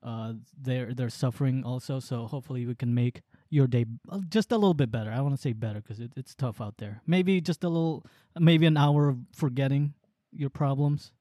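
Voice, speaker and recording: A man's voice: medium pitch, brisk, dark tone, no echo, studio quality. A young man from the Philippines whose first language is Waray, co-hosting a podcast in an easygoing, joking way. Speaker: podcast co-host. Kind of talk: casual podcast chat.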